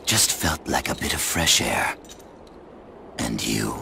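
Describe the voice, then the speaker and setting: An actor playing a hard, cynical lone warrior in a video game cutscene, terse and menacing with a gravelly voice. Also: male voice, very deep voice, lively intonation, slow pace, sharp tone, full character voice. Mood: cool, sardonic. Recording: noisy game cutscene audio.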